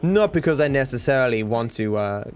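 A tired man with a cultivated Australian accent muses through viewer questions in a loose, casual ramble. He trails off and restarts often, leaning on fillers as he thinks aloud at a middling pitch. Faint music plays quietly beneath his voice. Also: lively intonation, a little fast. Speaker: streamer answering viewer questions.

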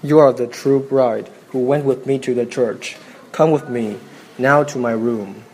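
A man's voice: medium pitch, fairly even intonation, a little slow, darkish tone, a little hiss or hum, lightly acted, rough recording. A man voicing a young king's son who questions his bride in blunt, demanding lines. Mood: tender. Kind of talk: storybook dialogue reading.

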